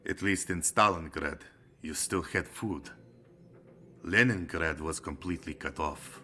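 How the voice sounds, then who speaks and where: An actor playing a hearty, hard-drinking Soviet sergeant who speaks in a boisterous, jovial way. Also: male voice, rumbling low, unhurried, bright, forceful tone, a little hiss or hum, heavily acted. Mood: grim. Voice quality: boisterous, jovial, then grim.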